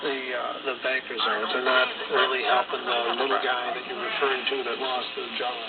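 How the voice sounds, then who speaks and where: A man giving unscripted commentary as a radio panelist, halting and repeating his words. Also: medium pitch, little pitch movement, over loud music. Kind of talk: unscripted political and economic commentary. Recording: phone-like shortwave radio, loud static. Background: loud radio static.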